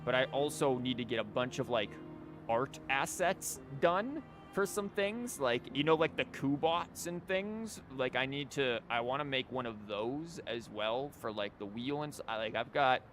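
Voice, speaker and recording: A man's voice: mid-range voice, animated, unhurried, a bit bright, faint music behind. A man doing casual live game commentary as a streamer, rambling and wry. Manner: wry, rambling, mock-quoting. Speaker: game livestreamer.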